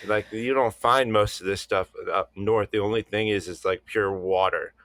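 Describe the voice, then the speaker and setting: A man's voice: on the low side, steady tone, moderate pace, a bit bright, no echo. A man co-hosting a podcast, talking bluntly and with plenty of swearing. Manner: blunt, profane, opinionated. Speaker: podcast co-host. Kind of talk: casual podcast chat.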